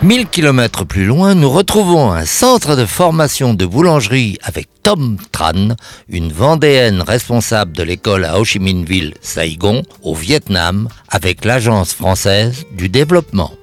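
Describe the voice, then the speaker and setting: A man's voice: fairly low pitch, sing-song, normal speed, soft background music. A man hosting a radio interview, asking questions in a chatty, conversational way. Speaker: radio host.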